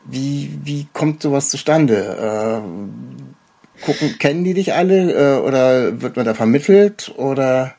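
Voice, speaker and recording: A man's voice: mid-range voice, lively intonation, slow pace, gravelly, dark tone. A man hosting a podcast in a casual interview style, feeling his way through his phrasing. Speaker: podcast host.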